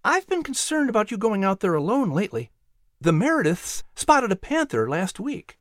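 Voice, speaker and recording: A woman voicing a busy colonial mother in an audiobook, firm yet gentle and cheery. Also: mid-range voice, very animated, moderate pace, dry recording, clean studio sound, voice pushed up, lightly acted. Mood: tender, concerned. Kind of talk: audiobook character voice.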